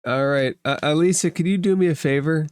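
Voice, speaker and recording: A man's voice: on the high side, fairly quick, a little mellow, smooth. A man running an improvised tabletop roleplaying game as game master, talking in an informal, conversational way.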